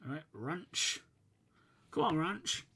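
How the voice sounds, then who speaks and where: A man's voice: on the high side, animated, slow pace, creaky, bright, forceful tone, slightly rough. A young man, slightly sniffly from a fading cold, casually commentating his football management game while thinking aloud with many fillers. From United Kingdom. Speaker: gaming channel host.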